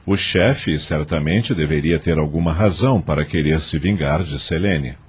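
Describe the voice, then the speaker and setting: A man's voice: a bass voice, normal speed, background noise. A man with a Brazilian accent narrating an audio novel as scripted, dramatic storytelling.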